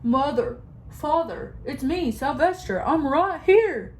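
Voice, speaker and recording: A woman's voice: high-pitched, very animated, slow pace, darkish tone, voice pushed up, exaggerated voice. A woman voicing a pleading young donkey boy. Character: young male donkey, desperate to be heard.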